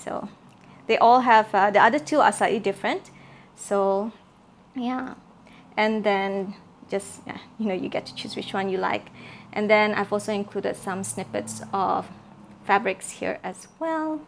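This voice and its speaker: A soft-spoken woman with a gravelly, creaky texture to her voice walks through her craft kits in a casual show-and-tell. She talks at an easy middle pitch, stopping often for fillers and restarts. A faint hiss sits under the recording. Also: unhurried. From Malaysia. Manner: soft-spoken, many fillers and repeated words. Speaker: craft journal maker showing her kits.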